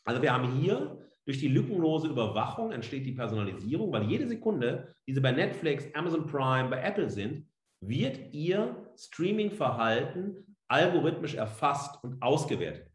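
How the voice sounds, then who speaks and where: A man's voice: medium pitch, big pitch swings, moderate pace. A man giving an explanatory slide lecture as a professor, speaking emphatically with asides. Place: indoor room, seated on camera. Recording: roomy, echoing indoor sound.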